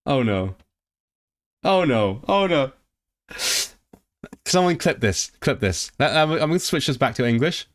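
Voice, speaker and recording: A man's voice: medium pitch, measured pace, pauses often. A man chatting live with his viewers, reading quiz questions aloud, translating them and often restarting his sentences. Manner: chatty and animated, reacting to the chat. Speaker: livestreamer. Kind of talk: casual livestream chat with viewers.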